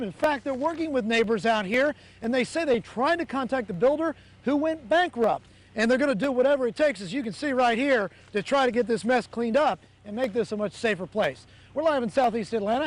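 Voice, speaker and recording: Very high-pitched punchy male TV reporter. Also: a little mellow, slight background noise. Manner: punchy, demonstrative showman delivery. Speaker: television news reporter. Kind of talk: on-location live report and feature presenting.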